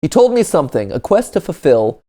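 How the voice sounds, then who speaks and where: A man's voice: on the high side, very animated, a little fast, dark tone. A man doing casual reaction commentary, reading on-screen text aloud and adding sarcastic, joking asides and exclamations. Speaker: reaction video host. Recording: music behind the voice.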